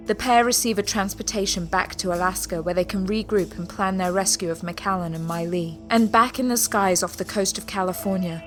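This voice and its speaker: Woman narrating a dramatic recap over music. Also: mid-range voice.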